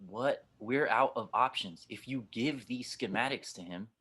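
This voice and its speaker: A man playing a gruff, skeptical, world-weary spaceship pilot in an audio drama, blunt and sardonic. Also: medium pitch, a little slow, a bit bright. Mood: skeptical, frustrated. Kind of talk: audio drama table read.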